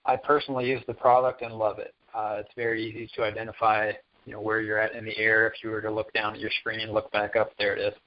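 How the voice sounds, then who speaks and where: A man talking on a conference call in a halting, matter-of-fact way. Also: on the low side, monotone. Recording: dull lo-fi phone line.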